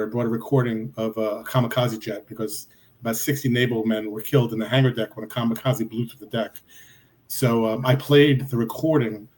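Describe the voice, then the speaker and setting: Male guest investigator, rapid emphatic delivery, mid-range voice. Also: medium pitch, slight background noise. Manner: excited, emphatic storyteller. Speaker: podcast guest, paranormal investigator. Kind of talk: casual chat.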